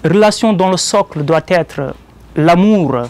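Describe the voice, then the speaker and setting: A man with a Senegalese accent, a poet speaking in a measured, deliberate way with pauses between short phrases. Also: high voice, darkish tone. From Joal, Senegal. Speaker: poet presenting his own collection. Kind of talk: poetry recitation and explanatory talk about it.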